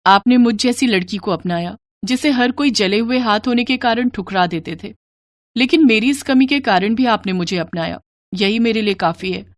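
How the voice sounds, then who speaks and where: A woman's voice: fairly high pitch, moderate pace, no echo, close to an everyday voice. A woman voicing a timid, humble young bride in a moral story, earnest and fretful in manner. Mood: humble, grateful.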